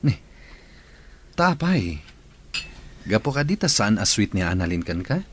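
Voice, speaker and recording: An actor with a Filipino accent playing an inquisitive, supportive drinking buddy in a radio drama. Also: male voice, on the low side, sing-song, a little slow, a little hiss or hum. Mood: curious, encouraging.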